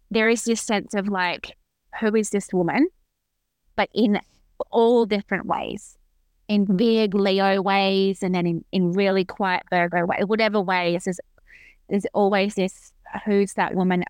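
A woman's voice: medium pitch, smooth, no echo. A woman in her thirties, a podcast guest talking earnestly and reflectively about her own experience. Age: 30s. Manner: earnest, reflective, with frequent restarts. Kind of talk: casual interview conversation.

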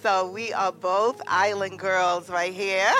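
A woman from Trinidad and Tobago hosting a podcast, affirming and warm in how she responds. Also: medium pitch, fairly even intonation, slow, soft background music. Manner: warm and affirming, with laughter and amens. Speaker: podcast host. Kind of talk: podcast interview.

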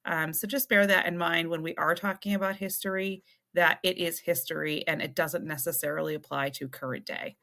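A woman co-hosting a podcast in casual chat, measured and earnest, often adding clarifications. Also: on the low side, steady tone, vocal fry, pushed and bright. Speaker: podcast co-host.

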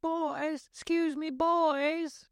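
A man voicing a frail old woman who pleads slowly and with great effort. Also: very high voice, level tone, no room sound, studio quality, voice pushed up, full character voice. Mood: pleading.

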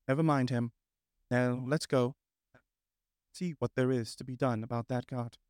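Man playing a no-nonsense storybook water rat, brisk, firm, exasperated. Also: mid-range voice, fairly even intonation, darkish tone, no echo, clean studio sound, natural voice.

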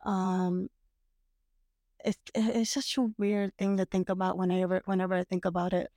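A woman talking casually with her brother as a podcast guest, reflective and hesitant, with frequent pauses and restarts. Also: lowish voice, flat delivery, mellow, no echo, studio quality. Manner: hesitant, reflective, many fillers and restarts, occasional laughs. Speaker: guest, host's sister. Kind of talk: casual conversation. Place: parents' house.

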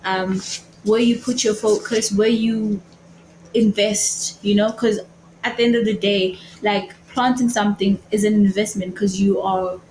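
Young non-native woman, medium pitch, halting casual talk, slight background noise. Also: fairly even intonation, darkish tone, rough recording. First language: not English. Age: young adult. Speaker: podcast panelist. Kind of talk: casual faith discussion.

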